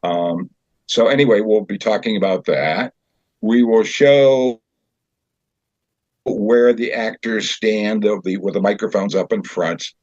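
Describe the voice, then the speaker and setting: A man explaining a theater show as its director in an interview, in a conversational, halting way. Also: lowish voice, darkish tone, no echo. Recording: dull, lo-fi sound.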